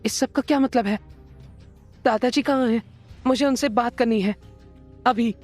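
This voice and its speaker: A woman's voice: on the high side, normal speed, darkish tone, soft background music, lightly acted. A woman playing a proud, defiant young wife in an audio drama, speaking in a firm, challenging way. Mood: upset, demanding. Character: proud, defiant young wife who confronts her rivals. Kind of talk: Hindi audio drama acting.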